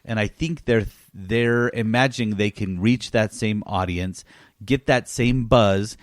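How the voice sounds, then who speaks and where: A man's voice: lowish voice, measured pace, no echo, clean studio sound. A middle-aged man chatting casually as the host of a gaming podcast.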